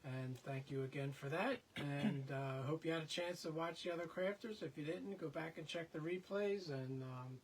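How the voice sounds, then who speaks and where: A man's voice: a little high, moderate pace, few pauses, distant mic. An older man co-hosting a live crafting stream, greeting viewers by name and echoing his co-host in a friendly way. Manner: friendly, repeats his co-host's phrases. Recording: off-mic with faint room noise.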